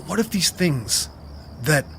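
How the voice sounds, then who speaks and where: Gentle, reserved man. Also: on the high side, very animated, slow, creaky, slight background noise, heavily acted. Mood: troubled, earnest. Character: earnest, gentle podcast host, self-doubting man. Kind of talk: naturalistic audio drama acting.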